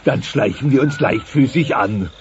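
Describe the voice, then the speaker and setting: A man's voice: medium pitch, moderate pace, a little mellow, natural voice. An actor playing a grumpy, indignant middle-aged dinosaur father, theatrical and bossy, in a dubbed comedy. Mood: lecturing. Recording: dull cassette transfer with noise.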